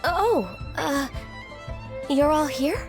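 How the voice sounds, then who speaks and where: Shy, gentle, high-voiced young assistant. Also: female voice, expressive, very slow, full character voice. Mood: apologetic, nervous. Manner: gentle, hesitant, apologetic. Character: shy, polite, anxious young woman assistant. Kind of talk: video game voice acting. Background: background music.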